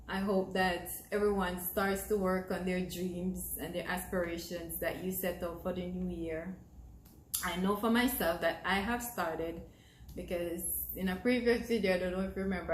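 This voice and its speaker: A woman's voice: on the low side, roomy sound, a little hiss or hum, poor audio. A young Jamaican woman with a Jamaican accent, talking to camera in an earnest, encouraging way that repeats phrases for emphasis. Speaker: vlogger. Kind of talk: casual talk to camera.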